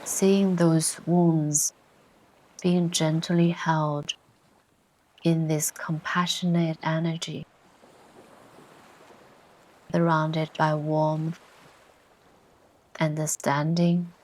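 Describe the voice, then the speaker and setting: A woman narrating a guided meditation in a soothing, gentle way, with long pauses between short instructions. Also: deep voice, flat delivery, poor audio. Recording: ocean surf sounds behind the voice. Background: ocean waves ambience.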